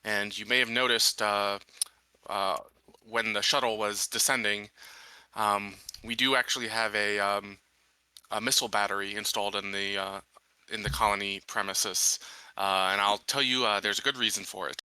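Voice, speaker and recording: A man's voice: on the low side, fairly even intonation, normal speed, sharp tone, smooth, no room sound, poor audio. A man playing a courteous colony marshal in a tabletop roleplay, speaking formally and politely with hesitant phrasing. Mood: courteous, measured. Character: courteous colony marshal interviewing a new recruit. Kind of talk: improvised in-character tabletop roleplay.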